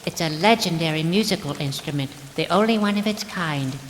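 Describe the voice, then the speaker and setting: A woman's voice: deep voice, brisk, lightly acted. Woman as a prim fantasy heroine in long reverb. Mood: earnest urging. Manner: formal, old-fashioned phrasing. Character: prim, earnest female companion from a fantasy realm. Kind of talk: scripted fantasy audio drama acting. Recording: long reverb, faint rain noise.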